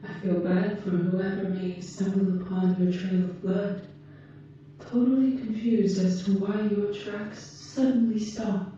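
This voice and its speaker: Woman playing a dark, soft-toned, slow alien caretaker. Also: mid-range voice, fairly even intonation, natural voice. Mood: calm, reassuring. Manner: slow, gentle, measured, formal wording. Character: calm, caring female alien from Venus tending a patient. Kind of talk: ASMR roleplay, scripted monologue to the listener. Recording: muffled, echoey, distant, faint noise.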